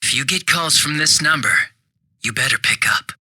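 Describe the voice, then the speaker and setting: An actor playing a smug, two-faced young police detective in a video game, casual and sarcastic with a taunting, cruel edge. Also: male voice, fairly high pitch, moderate pace, bright, forceful tone, breathy edge, full character voice. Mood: cold, menacing. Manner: casual, sarcastic, taunting, shifting from breezy to menacing. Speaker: police officer.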